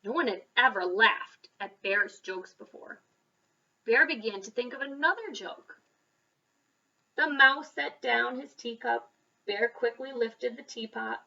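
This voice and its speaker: A woman reading a children's story aloud as a school reader, expressive, with dramatic emphasis and pauses. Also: fairly high pitch, creaky, recorded from a distance, muffled recording.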